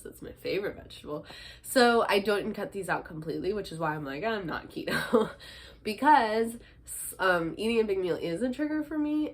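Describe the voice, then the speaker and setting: Young woman vlogger, slightly low voice, congested from a cold, chatty with ums. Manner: chatty, frequent ums and little laughs. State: stuffy from a head cold. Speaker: vlogger, teacher and fitness instructor. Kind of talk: casual talk to camera.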